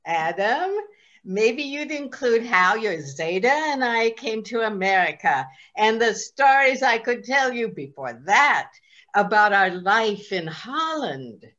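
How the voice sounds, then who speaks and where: A woman's voice: on the high side, expressive, takes it slowly, fairly bright tone, dull audio. A woman playing a doting, wry old immigrant grandmother in a reader's theatre comedy. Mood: gently scolding.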